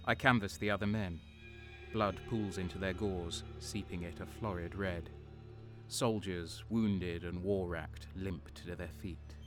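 A man's voice: low-pitched, fairly even intonation, unhurried, over music. A man playing a cynical, guarded wounded guardsman sergeant, narrating a sci-fi war audio drama in a sardonic, brooding way. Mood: dry, defiant.

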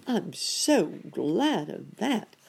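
A storyteller voicing a mild, timid gentleman rabbit in a storybook, hesitant and given to exclaiming. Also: medium pitch, very animated, very slow, creaky, slightly rough, voice pushed up, full character voice. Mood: relieved.

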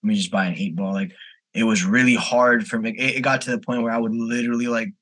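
A man's voice: mid-range voice, steady tone, quick pace, fairly bright tone. A man in his mid twenties speaking as a podcast guest in an informal, candid, conversational way. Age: mid 20s. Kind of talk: casual chat.

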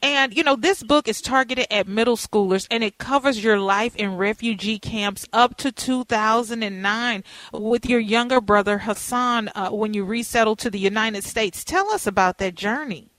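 A woman's voice: a little high, moderate pace, few pauses, a bit bright, dry recording, poor audio. A woman hosting a radio show, introducing and interviewing a guest in a conversational way with frequent fillers.

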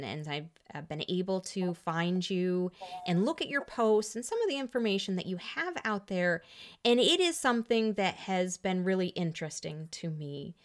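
A woman hosting a podcast, talking in an earnest and reflective way. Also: fairly low pitch. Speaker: podcast host. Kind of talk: casual interview talk.

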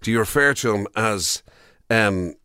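Expressive Irish man, wry and bemused. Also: lowish voice, smooth, dry recording, studio quality. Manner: wry, bemused, halting with repeated questions. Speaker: radio show co-host. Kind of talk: casual radio banter. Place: radio studio.

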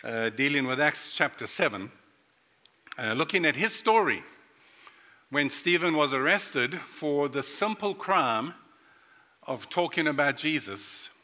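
A bright, fairly high-voiced middle-aged man preaching a sermon. He talks playfully and speaks straight to the congregation. His voice rings with a long reverb through the sanctuary. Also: lively intonation, measured pace, pauses often. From South Africa. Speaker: pastor. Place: church sanctuary.

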